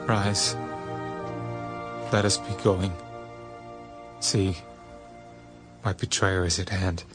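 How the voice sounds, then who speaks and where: Grave, commanding holy teacher, earnest audio-drama delivery, music clearly underneath. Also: male voice, sits low, level tone, drawn-out words. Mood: sorrowful, resolute. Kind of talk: dramatized audio Bible acting.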